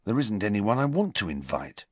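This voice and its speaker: Stubborn schoolboy voiced by a man, insistent, lively pitch, dry sound. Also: normal speed, no room sound. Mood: puzzled. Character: defensive teenage schoolboy, stubborn.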